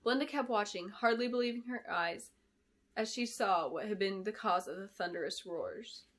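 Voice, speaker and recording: A woman reads fantasy fiction with a bright, forceful tone. Her storytelling is dramatic and expressive, with deliberate phrasing throughout. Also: medium pitch. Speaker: author reading her book. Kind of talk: dramatic scripted fantasy narration.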